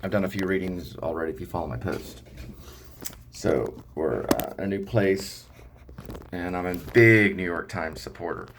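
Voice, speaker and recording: A man reading news aloud and chatting casually about it, in a halting, rambling way with stumbles over words. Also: deep voice, noisy background. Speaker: amateur reader and host reading news articles. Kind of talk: casual talk mixed with read-aloud news.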